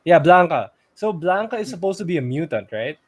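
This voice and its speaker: A man with a Filipino accent co-hosting a casual podcast, talking loosely and thinking aloud with pauses. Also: a little high, big pitch swings. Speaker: podcast co-host. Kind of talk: casual pop culture podcast chat.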